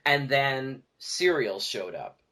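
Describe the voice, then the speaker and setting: A man's voice: medium pitch, sing-song, slow, sharp tone. A middle-aged man talking casually and at length as a guest in a podcast discussion. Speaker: podcast guest, audio drama producer.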